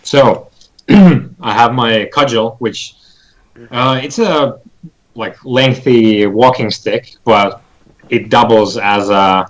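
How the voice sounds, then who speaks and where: A man playing a tabletop game, describing his character's actions haltingly as he thinks aloud. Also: mid-range voice, poor audio.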